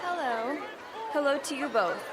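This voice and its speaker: Casting: a brisk, newsy field reporter, a little high-voiced, in a loud street crowd. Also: female voice, animated, very noisy. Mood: reporting. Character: Carla Phillips, brisk field news reporter. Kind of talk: mock live news report.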